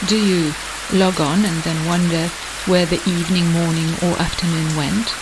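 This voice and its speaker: A meditation guide delivering scripted hypnotic narration in a measured, deliberate way with pauses. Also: medium pitch, flat delivery. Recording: hissy, clearly noisy background.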